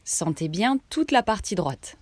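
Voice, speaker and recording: Slow-paced woman guiding meditation. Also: medium pitch, sing-song, no room sound. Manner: instructional, short phrases with pauses. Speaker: yoga nidra meditation guide. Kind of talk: guided relaxation meditation.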